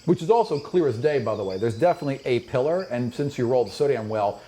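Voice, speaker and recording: A man's voice: mid-range voice, animated, fast, warm tone, a little hiss or hum. A man acting as game master, narrating a fantasy tabletop game with descriptive storytelling and casual table talk.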